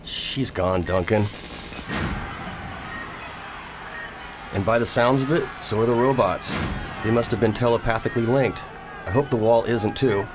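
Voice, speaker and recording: A man's voice: mid-range voice, normal speed, background noise, natural voice. A man playing an anxious young student in a radio drama, urgently pleading and warning. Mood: grim, awed.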